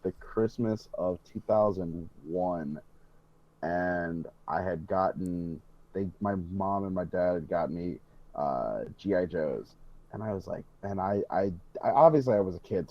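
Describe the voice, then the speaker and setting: A man's voice: low voice, dull audio. A young man chatting casually as a podcast host. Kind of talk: casual chat.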